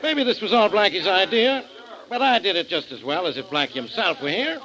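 Commanding 1940s radio police inspector, lively. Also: male voice, sits high, brisk. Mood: commanding, triumphant. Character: brisk, commanding, self-satisfied male police inspector. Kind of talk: 1940s radio crime drama acting. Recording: muffled, noisy 1940s radio recording.

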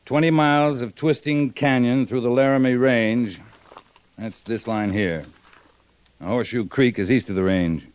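Through the dull sound of an old radio recording comes a man acting a curt American cavalry captain. His voice sits at an average male pitch and gives clipped, commanding lines with exacting authority. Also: moderate pace, a little mellow, close to an everyday voice. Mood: stern, curt.

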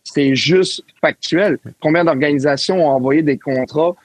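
A man on a sports panel, debating hockey trades in an animated way and often cutting in on the others. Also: a little high, a little slow, no echo. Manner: animated, interrupts and talks over others.

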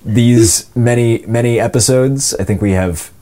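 A man's voice: medium pitch, unhurried, dark tone. A young man, an audio drama actor and co-producer, answering interview questions in a casual, rambling way with many fillers and restarts.

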